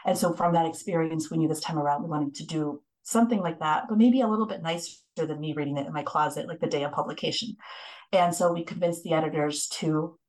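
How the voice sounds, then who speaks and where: Low-voiced woman reporter, animated. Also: rough recording. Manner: fast, animated storytelling with many restarts. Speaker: investigative reporter, guest. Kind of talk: casual interview talk.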